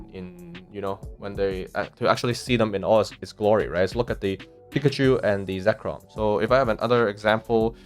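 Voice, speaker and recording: A man with a Hong Kong English accent hosting a card collecting vlog, talking casually with many fillers and restarts while he shows his graded cards. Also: on the low side. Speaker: card collecting channel host.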